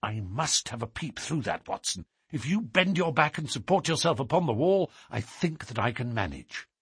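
A man reading aloud, voicing a cool, measured, sharp-witted gentleman detective. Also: fairly high pitch, sing-song, slightly rough, dry recording, voice pushed up, full character voice. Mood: hushed. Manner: cool and measured, dropping to a hushed whisper.

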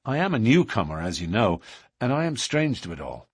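A male narrator acts out a bold, wary storybook man who speaks firmly and bluntly. His challenges swing widely in pitch, with a husky edge. The sound is dry and close. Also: big pitch swings, brisk, no echo. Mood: frank, protesting. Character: stern, bold, wary newcomer man, loyal lodge member. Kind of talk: audiobook character dialogue.

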